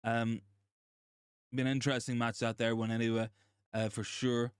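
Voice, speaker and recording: A young man with a hoarse, gravelly voice, still worn from a virus. He hosts a relaxed livestream, stumbling into restarts and repeating phrases between ums. His voice sits at an ordinary pitch and sounds dry and close. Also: normal speed, no room sound. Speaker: livestream host. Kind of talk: casual live chat reading comments.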